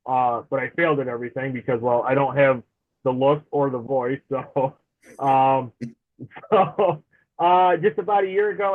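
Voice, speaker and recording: A man in his forties, a podcast guest, talking in a chatty, friendly way and laughing easily over a remote call. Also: a little high. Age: 40s. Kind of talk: casual interview chat. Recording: tinny phone-like remote line.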